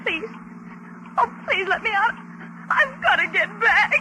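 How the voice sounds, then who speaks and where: An actress playing a frightened young woman held captive, nervous and halting, in a 1940s radio drama. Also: extremely high pitch, animated. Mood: desperate. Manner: nervous, stammering, pleading. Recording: noisy old radio recording.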